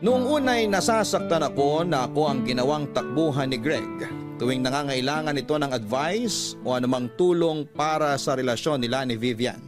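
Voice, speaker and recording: A man with a Filipino accent reading an emotional first-person story as a radio drama narrator, with deliberate, dramatic phrasing. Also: medium pitch, lively intonation, over music.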